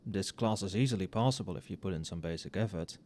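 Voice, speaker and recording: A man playing a tipsy, friendly professor in a casual ASMR roleplay, speaking softly and muttering as if to a colleague. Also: sits low, quick pace, close to an everyday voice. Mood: relaxed, chatty. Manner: soft-spoken, muttering. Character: tipsy, friendly, conscientious male magic-university professor working late.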